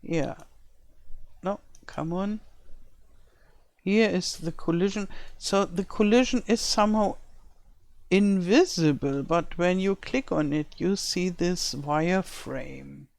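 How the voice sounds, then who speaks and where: Slow-talking German-accented older woman. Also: on the low side, gravelly, a little mellow, dry recording. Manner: thinking aloud, searching for words. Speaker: let's-play creator. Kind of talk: casual commentary while building a game map. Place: home in rural France.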